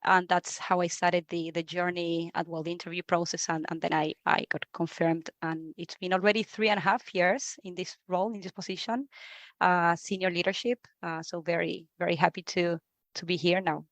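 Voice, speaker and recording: A woman's voice: on the low side, level tone, normal speed, fairly bright tone, no echo. Argentine woman, chatty and dry-sounding. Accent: Argentine Spanish. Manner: chatty, repeats words, laughs easily. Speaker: guest, HR leader. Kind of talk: conversational interview.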